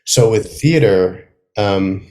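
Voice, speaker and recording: Man talking thoughtfully in halting phrases. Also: lowish voice, lively intonation, darkish tone, low-quality recording. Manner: halting, thoughtful, trailing off mid-sentence. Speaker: playwright, interview guest. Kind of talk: casual conversational interview. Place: remote video call.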